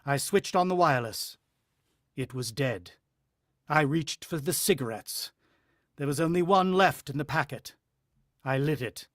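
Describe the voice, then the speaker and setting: A man's voice: fairly high pitch, expressive, a little slow, long pauses, no room sound, studio quality. A man acting a desperate, haunted husband in a radio play, telling of a siege in grave, clipped, intense style. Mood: grim, haunted. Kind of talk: dramatic radio play narration and dialogue.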